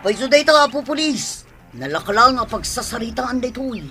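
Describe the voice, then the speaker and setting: Very high jittery henchman. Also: animated, normal speed, bright, forceful tone, soft background music, exaggerated voice. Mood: nervous pleading. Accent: Ilocano. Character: jittery, deferential male henchman of a gang boss. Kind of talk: Ilocano comedy radio drama acting.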